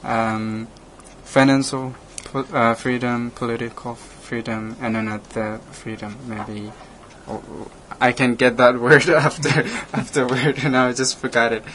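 A man from Laos, a native Lao speaker, hosting a radio talk and speaking haltingly with many restarts. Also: mid-range voice, little pitch movement, a bit bright, a little hiss or hum, rough recording. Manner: halting, hesitant, frequent restarts, laughs often. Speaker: radio program host, monk. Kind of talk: casual conversation in English.

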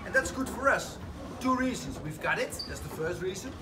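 A man, telling a tour group a lively story inside a large wooden sawmill. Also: high voice, normal speed. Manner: lively storytelling, slightly broken English phrasing. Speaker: windmill guide. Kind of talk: guided tour talk. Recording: distant voice with noisy background.

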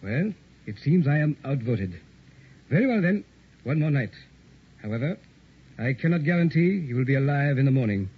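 A man's voice: mid-range voice, big pitch swings, breathy edge. Grim, formal police inspector played by a man. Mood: grim warning. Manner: formal, measured, foreboding. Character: male French police inspector, grim and cautious. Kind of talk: 1960s old-time radio horror acting. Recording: muffled old radio recording, background noise.

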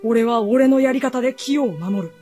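A woman playing a resolute, cool-headed teenage boy with a husky voice in a radio drama. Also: on the high side, normal speed, dark tone, faint music behind, close to an everyday voice. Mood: resigned resolve. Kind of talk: Japanese radio drama acting.

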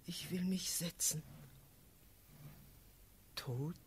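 A shocked noble lady in a radio drama, super slow and dignified, bit of background noise. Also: fairly low pitch. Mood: stricken. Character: stricken, dignified Electress, a mother in shock. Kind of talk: German radio play of a classical verse drama.

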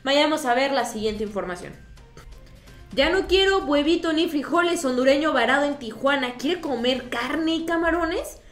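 A woman's voice: sits high, animated, moderate pace, reverberant, faint music behind. A young woman with a Mexican accent, hosting news commentary to camera. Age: young adult. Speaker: news host.

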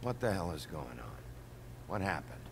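An actor playing a gruff, weary wolf-man sheriff in a noir video game, terse and deadpan. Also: low-pitched, moderate pace, slight background noise, exaggerated voice. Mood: stern. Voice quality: gravelly.

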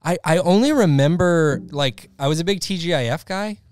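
A man's voice: a little high, normal speed, darkish tone, smooth, dry recording, clean studio sound. A man in his thirties, a podcast guest, chatting casually in a rambling, laid-back way.

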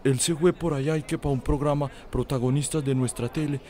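A man's voice: on the high side, steady tone, fairly quick, mellow, close to an everyday voice. A man with an Antioquia Colombian accent playing a naive, flustered young villager in a radio drama. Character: naive, flustered young country man seeking his father.

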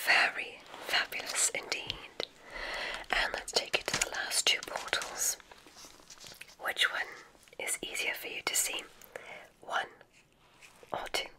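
A woman whispering breathily in an ASMR roleplay as a kindly witch examiner, soft and gently reassuring. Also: low-quality recording.

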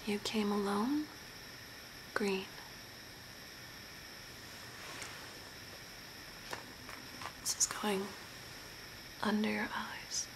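A young woman playing an attentive, macabre zombie makeup artist in a whispered ASMR role play, with long pauses. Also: fairly high pitch. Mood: soft, curious. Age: young adult. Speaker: ASMR performer. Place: small curtained room indoors. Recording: noticeable background hiss and ambience.

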